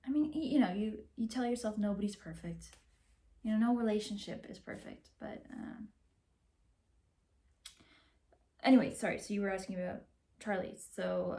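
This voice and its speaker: Young woman performing wistful divorcing actress, candid, halting and rambling, naturalistic film drama monologue, medium pitch. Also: mellow, close to an everyday voice. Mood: hesitant, confessional. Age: young adult.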